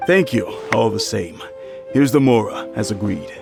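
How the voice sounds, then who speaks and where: A deep, gravelly, courteous innkeeper. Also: male voice, animated, moderate pace, music in the background. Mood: polite, earnest. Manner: courteous, earnest. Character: polite, wealthy, grateful male innkeeper. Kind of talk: video game voice acting.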